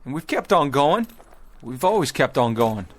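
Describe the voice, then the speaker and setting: A determined frontier father leading a wagon train, speaking at a middling pitch. His delivery is rustic and emphatic, halting at times as he insists. It is radio drama, with music playing faintly behind him. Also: big pitch swings. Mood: stubborn resolve.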